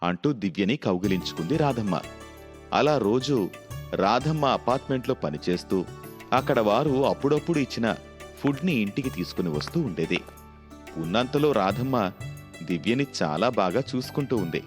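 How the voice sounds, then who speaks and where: A man's voice: lowish voice, lively intonation, moderate pace. A man narrating a scripted children's story as the storyteller. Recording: music behind the voice.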